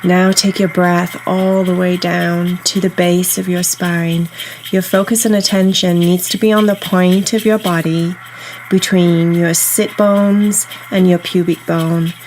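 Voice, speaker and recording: A woman guiding a meditation in a soothing, unhurried, instructional way, leaving pauses between phrases. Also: lowish voice, flat delivery, slight background noise, rough recording. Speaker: meditation guide. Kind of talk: guided meditation narration. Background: soft ambient meditation music.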